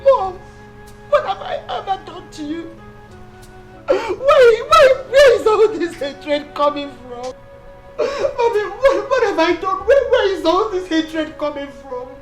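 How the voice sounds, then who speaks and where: Young Nigerian daughter-in-law in screen melodrama: high, slow, plaintive delivery, submissive and wounded. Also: female voice, expressive. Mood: hurt, bewildered. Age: young adult. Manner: pleading, plaintive, repetitive. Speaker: actress. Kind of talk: melodramatic film drama acting. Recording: music bed behind dialogue.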